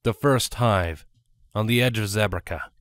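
A man's voice: lowish voice, unhurried, a bit bright, dry recording. A man reading scripted story narration as the narrator.

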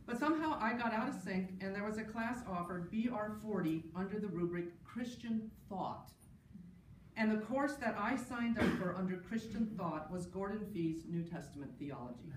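A woman's voice: medium pitch, lively intonation, moderate pace. A middle-aged woman gives a personal tribute talk at an academic conference, partly from notes, in a wry, anecdotal way with amused asides. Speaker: panel speaker, New Testament scholar. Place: large indoor conference session room. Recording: roomy, distant mic, clear background noise.

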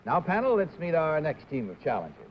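A man hosting a 1950s television game show, genial and brisk, heard in muffled old broadcast audio. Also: a little high, huge pitch swings, heavy background noise. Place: TV studio.

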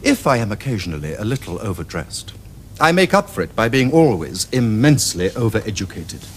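A teasing young Victorian dandy in a period television comedy. His upper-class English speech is quick and swoops up and down with arch theatricality. There is a faint noise on the old recording. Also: on the low side, very animated.

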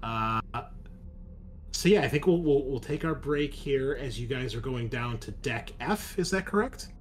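A man running a tabletop roleplaying game as game master, narrating casually with halting, deliberate pauses. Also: mid-range voice, animated, a little hiss or hum.

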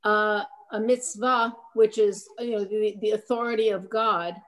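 A woman's voice: medium pitch, fairly even intonation, measured pace, fairly bright tone, poor audio. A woman taking part in an online study discussion, thinking aloud and searching for the right word. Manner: thoughtful, searching for words. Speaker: study group participant. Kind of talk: class discussion over video call.

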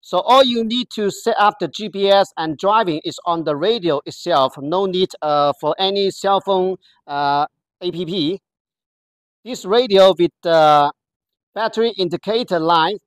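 A presenter gives a product walkthrough in careful, halting, non-native English, pausing often to find words. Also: medium pitch, no echo. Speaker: product demonstrator.

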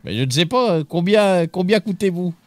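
A man playing a pompous, eccentric gentleman in a roleplay game, theatrical and mock-offended. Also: high voice, expressive, a little fast, no room sound. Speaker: streamer. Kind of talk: in-character roleplay.

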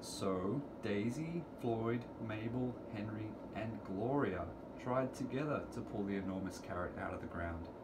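A middle-aged man reading a children's picture book aloud to preschoolers in deliberate, sing-song storytelling phrasing, recorded in a room at home. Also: lowish voice. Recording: clearly noisy home recording, room ambience.

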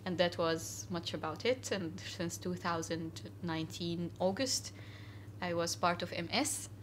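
A woman's voice: fairly low pitch, a little slow, slight background noise. A young woman from Syria, a guest in a casual interview, talking earnestly with many fillers and restarts in a cafe. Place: cafe, indoors.

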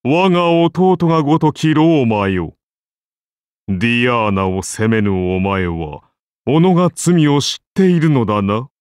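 A man's voice: medium pitch, very animated, unhurried, a little mellow, clear, resonant tone, no room sound, studio quality. A man voicing a majestic, benevolent Roman founder-king turned god in an anime game, with grand, solemn, archaic declamation. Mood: solemn, loving. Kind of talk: anime game character voice lines.

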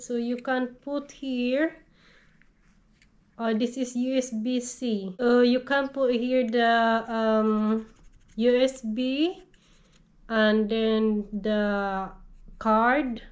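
A woman's voice: a little high, darkish tone. A woman in her thirties with a cold, gushing over items she unboxes in her living room. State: has a cold. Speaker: haul vlogger. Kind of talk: casual product haul. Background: crinkling packaging.

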